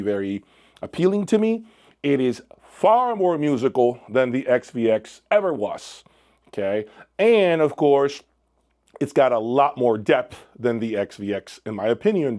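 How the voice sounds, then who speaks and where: A man presents a product review as the host of his own channel. He speaks in a deliberate, emphatic way, breaking his phrases with dramatic pauses. His voice sits at an average pitch for a man. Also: a little mellow.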